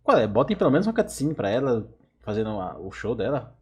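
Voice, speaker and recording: A man's voice: medium pitch, very animated, quick pace, a little mellow, breathy edge. A man with a Brazilian accent casually reacting to a game in short, slangy exclamations. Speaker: gaming video host.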